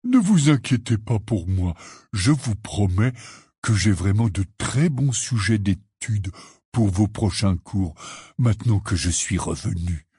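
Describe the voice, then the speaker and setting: A man voicing a big, hearty, stubborn but good-natured gamekeeper in a storybook, with a husky, blustery drawl. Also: lowish voice, expressive, unhurried, warm tone, no room sound, studio quality, heavily acted. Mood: tired, reassuring.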